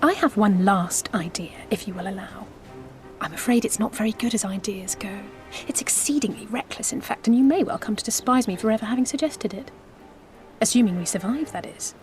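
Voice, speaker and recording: Game role: earnest, daring female envoy actress, formal measured persuasion, medium pitch, music behind. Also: close to an everyday voice. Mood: hushed, tentative. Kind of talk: video game voice acting. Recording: background music.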